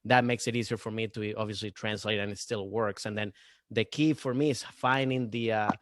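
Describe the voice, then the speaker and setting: A man's voice: on the low side, fairly even intonation, a little fast, no echo, clean studio sound. A young adult man from Venezuela with a Venezuelan accent, a comedian chatting as a guest in a loose, rambling way with many restarts and fillers. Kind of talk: casual podcast chat.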